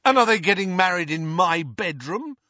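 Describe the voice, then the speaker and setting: A man's voice: high-pitched, animated, normal speed, pushed and bright, no room sound, pitched above the performer's own voice. A man voicing a sulky, hot-tempered teenage boy who whines indignantly. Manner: whining, indignant. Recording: dry, dull lo-fi audio.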